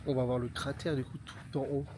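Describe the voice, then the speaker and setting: A man with a head cold, his voice a bit stuffy, talks casually as he films out on an open volcanic plain. He sounds eager and keeps marvelling at what he sees. There is a steady wash of outdoor noise behind his voice. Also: medium pitch, fast talker. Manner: excited, marvelling, mixes in simple English. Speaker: travel vlogger. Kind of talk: casual vlog narration. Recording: noisy outdoor recording.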